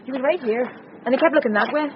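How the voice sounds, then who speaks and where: A woman's voice: sits high, quick pace, lightly acted. An actress playing a street-wise female informant in a radio drama, casual and matter-of-fact. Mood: eager, casual. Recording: muffled noisy radio recording.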